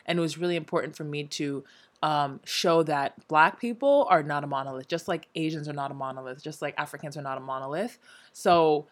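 A woman's voice: sits low, brisk. A young woman who teaches in Korea, chatting casually and acting out her students' and coworkers' lines. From Nigeria and America. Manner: energetic, expressive storyteller, acts out quotes. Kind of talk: casual chat.

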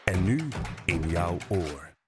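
Very deep-voiced male announcer. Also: big pitch swings, takes it slowly. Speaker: podcast announcer for a theatre company. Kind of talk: scripted narration. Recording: loud music behind voice.

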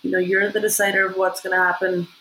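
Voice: mid-range voice, level tone, fast, bright, forceful tone, a little hiss or hum, low-quality recording. A speaker in casual conversation about spirituality, talking emphatically with laughs and frequent swearing.

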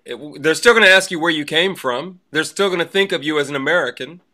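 A man's voice: fairly high pitch, fast talker, sharp tone. A man chatting casually as a podcast co-host. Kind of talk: casual chat.

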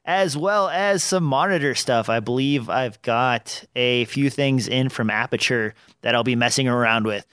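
A man's voice: mid-range voice, fairly bright tone, smooth, no room sound, studio quality. Nasal-voiced man hosting a podcast. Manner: rambling, with many fillers and restarts. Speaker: podcast host. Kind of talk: casual podcast chat. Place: small home studio. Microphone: studio dynamic microphone on an arm.

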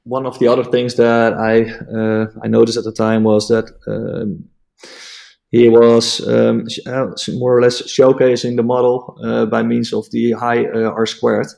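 A man with a Dutch accent, a native Dutch speaker, explaining a technical topic as an interview guest, halting with many fillers and restarts. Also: mid-range voice, little pitch movement, vocal fry, a little mellow. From Netherlands.

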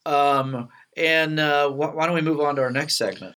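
A man hosting a podcast in casual round-table chat, talking haltingly and repeating words as he goes. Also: fairly high pitch, fairly even intonation, a bit bright, smooth. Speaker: podcast host.

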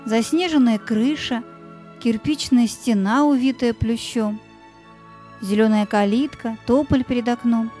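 A woman narrating a scripted fairy tale in a hushed, wondering storytelling manner. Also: on the high side, a little slow, faint music behind.

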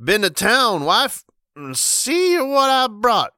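A man voicing a drunk, pompous old church elder who talks slowly with slurred grand dignity. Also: extremely high pitch, huge pitch swings, pushed and bright, smooth, no room sound, clean studio sound, pitched above the performer's own voice, heavily acted. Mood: drunkenly pompous.

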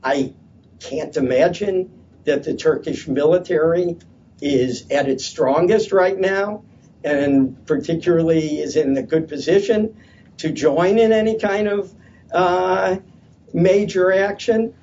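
A man speaking loudly at a conference panel, hesitant and halting with many fillers and restarts. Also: fairly high pitch, slight background noise. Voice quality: loud voice. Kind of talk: unscripted conference talk. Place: conference session room. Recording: distant, dull lo-fi conference audio.

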